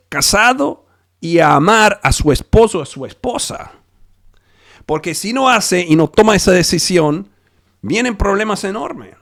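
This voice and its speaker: A male pastor preaching a sermon over a video call, in a slow, emphatic way with frequent restarts; Spanish is not his first language. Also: sits high, a bit bright.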